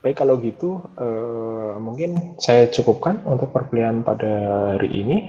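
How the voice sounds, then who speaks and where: A man's voice: mid-range voice, lively intonation, normal speed, warm tone. Hesitant young male lecturer on a call. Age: 20s. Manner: hesitant, many fillers and restarts. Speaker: university lecturer. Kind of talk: online class wrap-up. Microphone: video call. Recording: dull lo-fi call audio.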